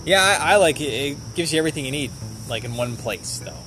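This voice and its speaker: A man's voice: on the high side, animated, quick pace, bright, forceful tone, a little hiss or hum, rough recording. A man co-hosting a podcast with casual, joking chat. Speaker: podcast co-host.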